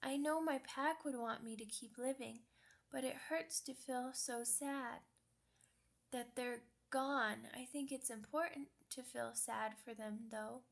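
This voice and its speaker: A woman plays a young werewolf pup in an ASMR roleplay. The voice is high and childlike, and the speech comes very slowly, halting and hesitant. The pup sounds sweet, timid and earnest. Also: steady tone, gravelly, full character voice. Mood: sad.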